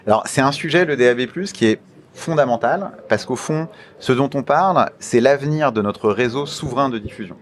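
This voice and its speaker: A man's voice: medium pitch, fairly quick. A man with a French accent answering interview questions as an official, formal and explanatory, feeling his way through phrases with repeated words. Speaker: media regulator official being interviewed. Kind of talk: radio interview, explanatory institutional talk.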